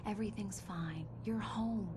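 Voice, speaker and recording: A woman's voice: mid-range voice, measured pace, background noise, lightly acted. An actress playing a seductive, sinister woman posing as a loving wife in a video game, speaking in a coaxing, soothing way. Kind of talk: dramatic video game acting.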